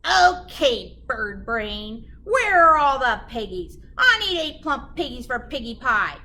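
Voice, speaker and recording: A woman's voice: sits high, very animated, takes it slowly, full character voice. A woman voicing a bossy, hot-tempered, hungry storybook witch with a demanding, screechy delivery. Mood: bossy, irritated. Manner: shouty, insulting, demanding.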